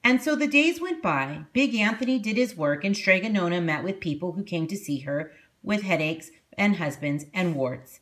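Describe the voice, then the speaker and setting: Female read-aloud narrator, teacherly, very lively intonation, expressive storybook delivery for young children. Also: lowish voice, normal speed, few pauses.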